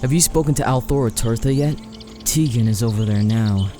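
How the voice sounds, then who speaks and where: A man playing a cautious, practical husband and father in a fantasy audio drama, measured and questioning. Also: medium pitch, over music, close to an everyday voice. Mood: concerned.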